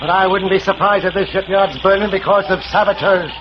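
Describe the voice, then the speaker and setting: An actor playing a cautious, informal chief in 1940s radio drama style. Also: male voice, sits high, steady tone, brisk, lightly acted. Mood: guarded. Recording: noisy, muffled old radio broadcast recording.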